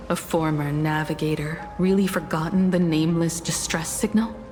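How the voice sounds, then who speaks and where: Woman acting a slow, stern, resolute heroine. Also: on the low side, lightly acted. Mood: defiant, confident. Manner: deliberate, firm, confrontational, measured. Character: resolute, stern heroine, a ship's navigator facing down an enemy. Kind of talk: dramatic video game voice acting. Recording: loud game music behind. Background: game soundtrack music.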